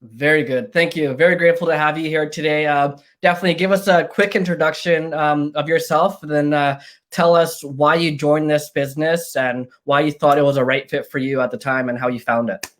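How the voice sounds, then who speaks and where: A man's voice: a little high, steady tone, fairly quick, a bit bright. An upbeat man hosts a casual interview. He speaks in an encouraging way, with plenty of fillers between thoughts. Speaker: interview host.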